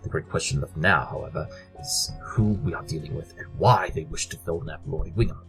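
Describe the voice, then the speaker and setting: Brilliant cartoon-pony detective, male actor: deep, formal, dramatic, excitable, built for audio drama exposition. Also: over music, poor audio. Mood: analytical, pondering. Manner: theatrical, formal, deliberate exposition. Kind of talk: scripted audio drama acting.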